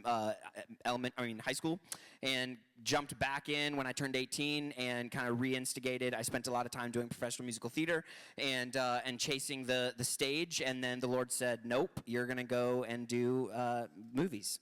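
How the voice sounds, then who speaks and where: Man, 20s, actor, panel talk, chatty, restarts a lot, mid pitch, clean resonant voice. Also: a bit bright, smooth. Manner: upbeat, talkative, many restarts.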